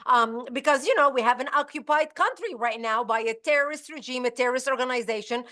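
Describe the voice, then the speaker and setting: A woman's voice: high voice, fast talker, fairly bright tone. A woman with a Lebanese accent, a guest in an interview, talking emphatically and at length. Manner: emphatic, talkative, switches between English and Arabic. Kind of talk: casual interview conversation.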